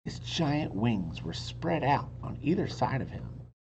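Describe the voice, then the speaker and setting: A man reading a children's book aloud dramatically, stretching words and dropping into hushed whispers, with teacherly asides to his listeners. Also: medium pitch, very animated, measured pace, slight background noise, poor audio. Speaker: read-aloud storyteller and teacher.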